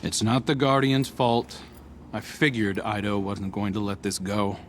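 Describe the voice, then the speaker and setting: A man's voice: fairly low pitch, steady tone, unhurried, slight background noise, close to an everyday voice. A man playing a wry, easygoing ally in a video game, casual and resigned in delivery. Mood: wry, calm. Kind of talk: video game cutscene acting.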